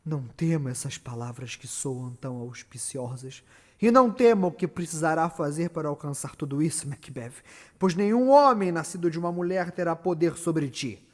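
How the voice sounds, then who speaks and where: A man's voice: on the high side, close to an everyday voice. A Brazilian man playing eerie, prophesying witches in a declamatory, incantatory theatrical Shakespeare monologue. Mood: solemn prophecy. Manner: declamatory, drawn-out, swinging from hush to loud chant. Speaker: stage actor. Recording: long reverberant hall echo.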